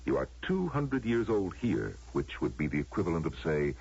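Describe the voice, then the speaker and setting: An actor playing a solemn, knowing man who grants wishes, gravelly and persuasive in a radio drama style. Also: deep voice, big pitch swings, a little mellow, heavily acted. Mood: solemn, hypnotic. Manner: measured, grave, persuasive, theatrical. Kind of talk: American radio drama acting. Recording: muffled, lo-fi old radio broadcast.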